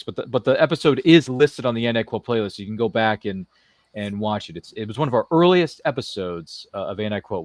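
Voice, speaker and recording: A man's voice: on the low side, dry recording, studio quality. A man hosting a casual interview, chatting in a rambling way and slipping into quotes and little impressions. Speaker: show host.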